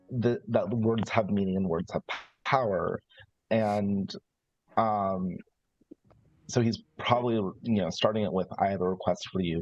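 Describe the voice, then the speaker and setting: Deep-voiced male tabletop player, husky, hesitant and conversational, thinking out loud on a somewhat muffled mic. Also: no room sound. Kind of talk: casual out-of-character game discussion. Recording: slightly dull, lo-fi microphone sound.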